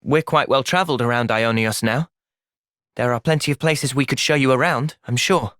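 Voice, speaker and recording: An actor playing an earnest, thoughtful young male hero in a fantasy video game, speaking sincerely and clearly. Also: mid-range voice, moderate pace, fairly bright tone, dry recording, studio quality, natural voice. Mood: calm, measured. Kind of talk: video game cutscene voice acting.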